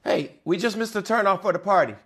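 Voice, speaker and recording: A man's voice: high voice, fast talker, fairly bright tone, breathy edge, heavily acted. A man playing a stoned, blunt teenage younger brother in a comic script read. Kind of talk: comic screenplay table read.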